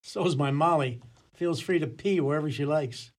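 A man playing a short, grubby police detective in a comedy radio play, blunt and droll, with a husky voice. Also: on the high side, moderate pace, natural voice.

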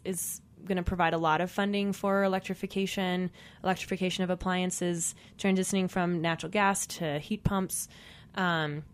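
A woman speaking as a guest in a casual radio interview. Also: on the low side.

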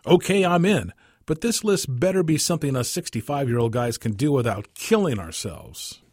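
Man reading as a 65-year-old farmer in a radio story: husky, folksy, grumbly but game. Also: mid-range voice, expressive, normal speed, a little mellow, no room sound, clean studio sound, voice pushed up, close to an everyday voice. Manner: folksy, plain-spoken.

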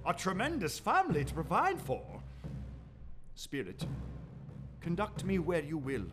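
Startled old miser. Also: male voice, high voice, wildly swooping pitch, unhurried, full character voice. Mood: solemn. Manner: anxious, pleading, exclamatory. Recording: radio play with music underneath.

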